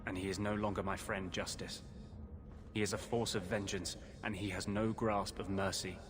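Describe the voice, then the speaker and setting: An actor playing a tormented mage possessed by a vengeful spirit, speaking gravely and confessionally in a video game. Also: male voice, deep voice, little pitch movement, normal speed, music in the background, lightly acted. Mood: bitter, anguished. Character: tormented male mage sharing his body with a vengeful spirit. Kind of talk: dramatic video game acting.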